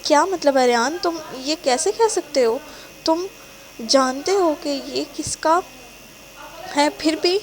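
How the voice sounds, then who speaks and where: Brief: distraught young woman pleading through tears, high and strained, read by a woman narrator. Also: flat delivery, few pauses, close to an everyday voice, poor audio. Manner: tearful, pleading, high-pitched. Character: desperate heartbroken young woman, sobbing.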